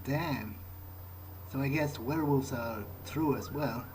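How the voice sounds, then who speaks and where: A man role-playing a wary, pragmatic vampire in a video game, thinking aloud in a halting, understated way. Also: medium pitch, darkish tone, a little hiss or hum, lightly acted. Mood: pensive. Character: male vampire musing to himself, wary, pragmatic. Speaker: gamer playing in character. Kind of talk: improvised in-character game monologue.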